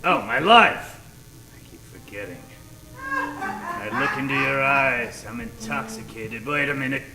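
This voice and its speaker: An actor playing a blustering, melodramatic space captain in old-time radio comedy style, halting and exclamatory. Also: male voice, mid-range voice, big pitch swings. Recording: roomy echo, music underneath.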